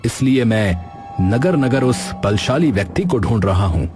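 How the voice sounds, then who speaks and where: A man's voice: medium pitch, big pitch swings, normal speed, music in the background, close to an everyday voice. A man playing a kind, polite young food seller in a cartoon story, speaking earnestly and reassuringly. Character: kind, polite young rajma-rice seller helping others. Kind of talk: Hindi cartoon dubbing.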